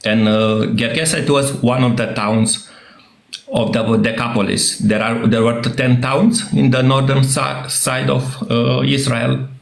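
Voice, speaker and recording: A man with a Romanian accent, a native Romanian speaker, arguing in a casual debate and often pausing to search for words. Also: mid-range voice, level tone, gravelly, echoey room. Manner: halting, searching for words, fillers.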